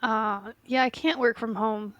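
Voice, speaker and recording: A woman's voice: on the high side, fairly even intonation, measured pace, a bit bright, dry recording, poor audio. A congested woman co-hosting a podcast, laid-back and jokey in casual chat. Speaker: podcast co-host. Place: recorded in person, dry close sound.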